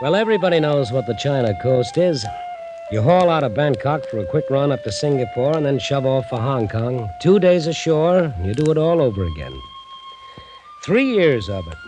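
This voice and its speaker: A man's voice: medium pitch, lively intonation, normal speed, lightly acted, poor audio. Man acting a sardonic young ship's mate, old radio. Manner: wry, colloquial, exasperated. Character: resentful young chief mate of a steamer, sardonic storyteller. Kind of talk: 1940s radio drama acting. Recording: old radio recording with music.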